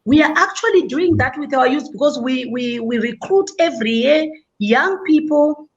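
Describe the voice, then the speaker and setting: A woman with a high voice makes her point on a panel in an earnest, deliberate way. The sound is a little dull and lo-fi. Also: lively intonation. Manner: earnest, deliberate, self-critical. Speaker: panelist, education leader. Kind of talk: panel discussion.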